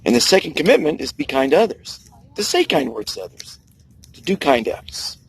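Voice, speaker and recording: Gravelly sixty-something man preaching kindness. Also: very animated, brisk, a little hiss or hum. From United States. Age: 60s. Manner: earnest, preachy, emphatic with big pitch swings. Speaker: kindness vlogger. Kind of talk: outdoor personal monologue. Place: outdoors by the sea.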